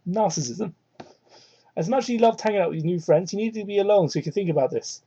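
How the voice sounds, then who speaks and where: A woman's voice: quick pace, dark tone, slightly rough. A woman reading fan fiction aloud as an amateur, breaking off for irreverent, sweary asides, on a poor microphone. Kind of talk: dramatic reading with mocking asides. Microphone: cheap microphone. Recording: muffled low-quality microphone.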